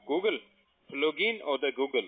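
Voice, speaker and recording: A man's voice: a little high, lively intonation, moderate pace, soft background music. A man posing as tech support, a native English speaker speaking broken German with faulty word order, pushing instructions. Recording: tinny phone line.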